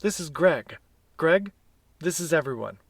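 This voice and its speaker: A man's voice: a little high, lively intonation, a little slow, creaky, dry recording. A middle-aged American man hosting a podcast, introducing and interviewing a guest. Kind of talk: scripted introduction and live conversational interview.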